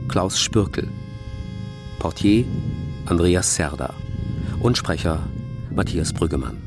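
A man's voice: low voice, animated, takes it slowly, creaky. A man reading scripted credits as the announcer of a radio play. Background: music.

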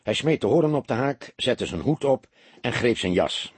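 Very husky male audiobook narrator. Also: medium pitch, expressive, normal speed, no echo. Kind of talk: scripted crime story narration.

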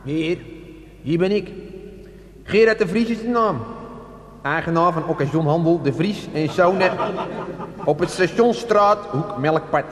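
A male actor plays an older used-car dealer in a comic radio play. His fairly high voice rings through a reverberant hall as he makes chatty, self-excusing confessions in salesman's patter. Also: close to an everyday voice. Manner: salesman patter with colloquial asides. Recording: echoey hall with long reverb.

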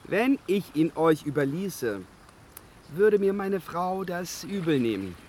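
An actor playing a naive, boastful young dragon slayer in a fantasy radio play, swaggering and defiant. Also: male voice, sits high, sing-song, takes it slowly, darkish tone, slight background noise, full character voice. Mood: haggling.

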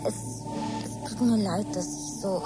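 An actress playing a troubled grown daughter in a German radio drama, halting, anxious and emotional. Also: female voice, mid-range voice, over loud music, natural voice, poor audio. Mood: halting remorse.

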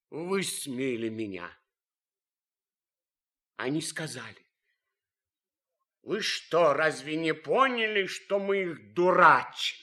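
An actor playing a disillusioned, anguished army officer in a radio drama, grave and earnest, speaking haltingly with weighty pauses. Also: male voice, a little high, sharp tone, exaggerated voice. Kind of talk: old Russian radio drama acting.

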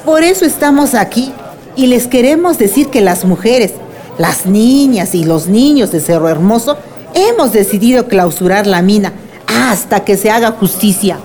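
A woman's voice: mid-range voice, animated, normal speed, a little mellow, lightly acted. A woman playing a dignified, passionate villager in a radio drama, pleading in rhetorical appeals. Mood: impassioned.

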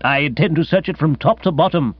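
A pompous old English gentleman, played by a male narrator in a comic audiobook. He is stiff, haughty and full of accusation. The sound is dry and somewhat dull. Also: fairly high pitch, fairly quick, slightly rough, no room sound. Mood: irate. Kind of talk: comic audiobook character acting.

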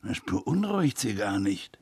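An actor playing a sardonic police investigator in a radio drama, questioning in a pointed, insinuating way. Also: male voice, on the low side, sing-song, unhurried, a little mellow, slightly rough, full character voice. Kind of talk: German radio drama dialogue.

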